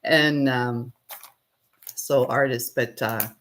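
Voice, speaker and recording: A middle-aged woman with a low voice, chatting casually and laughing often as she answers viewers' questions on a live stream. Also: slow pace. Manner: relaxed, laughs often, thinks aloud while working. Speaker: potter and channel host.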